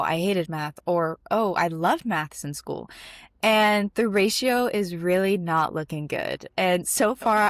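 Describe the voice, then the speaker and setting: A twenty-year-old woman from Dubai co-hosts a podcast in an earnest, thoughtful way, now and then restarting a phrase. Her voice sits rather low, and the recording is dry and close. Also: dry recording. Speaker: podcast co-host. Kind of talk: podcast chat.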